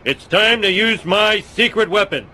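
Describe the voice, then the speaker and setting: A man voicing a dim, selfish, excitable middle-aged dad in a cartoon video game, blustery and oafish. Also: high-pitched, a little slow, exaggerated voice.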